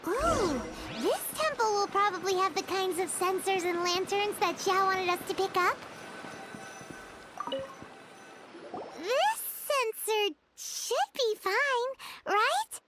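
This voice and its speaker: A woman voicing a tiny, cheeky, childlike fairy companion in a video game, squeaky and exclamatory. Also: animated, takes it slowly, few pauses, loud music behind the voice, exaggerated voice. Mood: curious. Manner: exclamatory, refers to self in third person.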